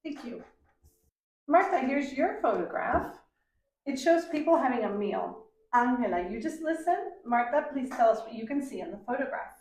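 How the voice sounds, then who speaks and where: A woman's voice: fairly high pitch, big pitch swings, darkish tone. Examiner woman, echoey room from a distance. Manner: clear, slow, deliberate questioning. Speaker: examiner, English teacher. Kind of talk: mock speaking exam questions.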